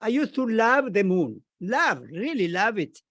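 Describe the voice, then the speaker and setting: A man panelist giving reflective closing remarks like a blessing, halting and often repeating words and phrases. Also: sits high, very animated, fairly bright tone, no echo. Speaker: scholar, panelist.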